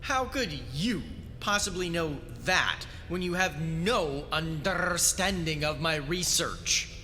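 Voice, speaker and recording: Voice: mid-range voice, lively intonation, slow, bright, forceful tone. An actor playing an indignant, self-important scientist in an audio drama, flustered and sputtering. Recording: echoing hall with faint music.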